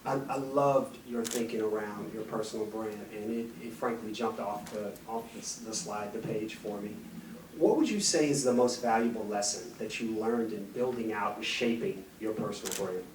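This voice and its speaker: Guy running the q&a at a conference, keen, trips over his words, distant roomy sound. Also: on the low side. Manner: enthusiastic, halting with restarts. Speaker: event moderator. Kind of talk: moderating a Q&A. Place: large conference hall. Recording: roomy hall sound, distant mic, faint noise.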